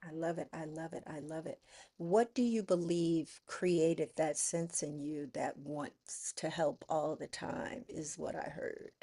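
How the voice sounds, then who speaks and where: A woman hosting an interview podcast, speaking warmly and familiarly to her guest. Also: low-pitched, steady tone, unhurried. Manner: affectionate, calls guest darling and girl. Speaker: podcast host. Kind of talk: interview conversation.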